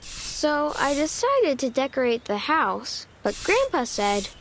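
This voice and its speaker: A woman narrating a children's storybook in an expressive, deliberate way, stretching key words. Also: sits high, slight background noise.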